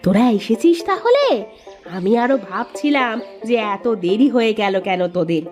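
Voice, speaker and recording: A woman voicing a proud, quick-witted village aunt in a cartoon story, with an animated, sing-song manner. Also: fairly high pitch, music in the background. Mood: eager. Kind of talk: Bengali cartoon story dialogue.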